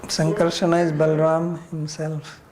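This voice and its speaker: A man's voice: on the high side, monotone, measured pace, background noise. A man with an Indian accent leading an informal class discussion as a spiritual teacher, answering questions bluntly and challengingly with rhetorical questions.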